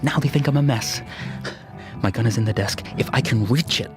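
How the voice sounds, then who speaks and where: An actor playing a jumpy, self-mocking young graduate student in a radio drama, husky and nervously muttering. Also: male voice, mid-range voice, a little fast, loud music behind the voice, lightly acted. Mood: frantic. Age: young adult. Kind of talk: radio drama acting, interior monologue.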